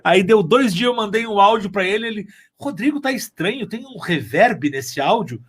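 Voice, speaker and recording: A man's voice: high voice, moderate pace, husky. A man with a southern Brazilian accent, talking casually in an interview and telling things like a storyteller who acts out remarks. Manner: animated storyteller, acting out quoted remarks. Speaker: sound engineer and mixer on an audio drama.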